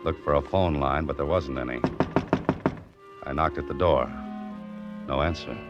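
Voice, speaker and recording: Casting: low-voiced American radio detective hero, male, dry and wisecracking, muffled vintage sound. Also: moderate pace. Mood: tense, wry narration. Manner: dry, wisecracking, clipped tough-guy delivery. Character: wry, hard-boiled adventurer hero narrating his own mystery. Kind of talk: 1940s radio mystery acting and first-person narration. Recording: muffled old radio recording with music.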